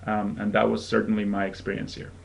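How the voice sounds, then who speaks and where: A man's voice: lowish voice, completely flat delivery, fast, gravelly. Young man presenting college admissions. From Venezuela. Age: 20s. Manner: friendly, conversational, with frequent ums. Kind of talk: live online presentation to prospective students.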